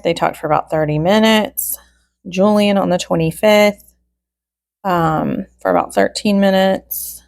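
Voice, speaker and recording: A woman with a fairly low voice full of vocal fry gives informal true crime commentary. She works methodically through a log, one entry after another, slipping in wry remarks. The sound is dry, with very little room around it. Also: no room sound. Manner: methodical, item-by-item recital with wry asides.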